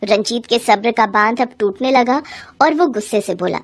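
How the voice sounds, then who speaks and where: A woman narrating a cartoon family story in a lively, scripted storytelling way. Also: fairly high pitch, normal speed.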